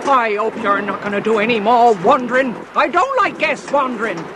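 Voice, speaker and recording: A scolding, suspicious inn servant in a vintage farce. He barks brusquely in a very high, swooping voice through heavy recording noise. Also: lively intonation, normal speed. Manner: barking, brusque. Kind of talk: vintage British stage farce acting. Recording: very noisy old drama recording.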